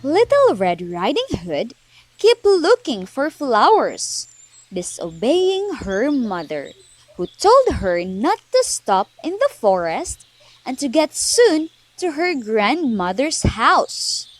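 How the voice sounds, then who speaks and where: A woman's voice: sits high, wildly swooping pitch, takes it slowly, no room sound. A woman narrating a children's fairy tale from a script in a dramatic storybook style.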